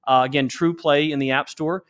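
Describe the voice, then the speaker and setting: A man speaking as a company founder in an interview, earnest and encouraging as he makes his pitch. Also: fairly even intonation, moderate pace, a bit bright. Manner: earnest, exhorting. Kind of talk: earnest promotional interview talk.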